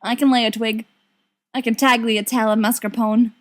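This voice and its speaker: A woman's voice: on the high side, little pitch movement, moderate pace, natural voice. A woman reading a storybook part as a cheeky, melodramatic young man who teases and plays mournful. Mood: mock mournful. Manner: theatrical, mock-mournful, teasing.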